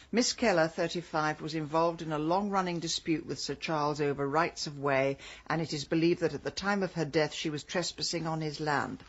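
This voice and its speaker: An actress playing a sharp, imperious amateur detective in a radio drama, with an upper-class English accent and a dry, arch delivery. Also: female voice, deep voice, dull audio. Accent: English Received Pronunciation. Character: sharp, imperious, witty amateur detective woman, middle-aged.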